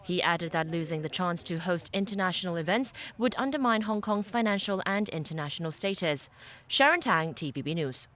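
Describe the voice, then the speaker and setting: A woman's voice: fairly low pitch, expressive, fairly quick, a bit bright. A woman reporter reading a scripted television news report.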